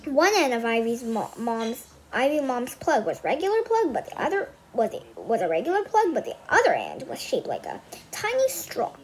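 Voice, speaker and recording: High, animated young girl reading aloud. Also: moderate pace, few pauses, slight background noise, poor audio. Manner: stumbles over words and repeats phrases. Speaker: read-aloud host. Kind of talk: reading a children's book aloud with casual asides.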